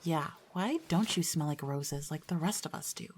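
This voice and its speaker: Woman as mocking schoolgirl sidekick, sarcastic, lower-pitched, audio drama, faint noise behind. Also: moderate pace, close to an everyday voice. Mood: taunting. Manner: taunting, sarcastic. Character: catty schoolgirl sidekick bully, mocking, egging on.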